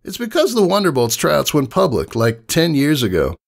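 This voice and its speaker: A man's voice: a little high, wildly swooping pitch, normal speed, vocal fry, pitched above the performer's own voice, exaggerated voice. A man voicing a brash, cocky young female pegasus pony athlete with a swaggering, casual, slangy delivery. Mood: teasing.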